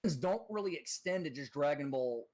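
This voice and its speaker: A man's voice: on the high side, animated, moderate pace, darkish tone. A man chatting over voice chat as a guest on a stream, rambling and hesitant as he talks about doing voice impressions. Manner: rambling, hesitant, self-conscious. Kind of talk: casual voice chat.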